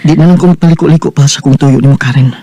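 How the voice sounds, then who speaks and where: A man's voice: a little high, steady tone, quick pace, mellow, dry recording, natural voice. An actor playing an earnest lovesick man in a Filipino radio drama, with a Cebuano accent and an emotional, pleading delivery. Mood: desperate pleading. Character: lovesick earnest man pining for his former housemaid.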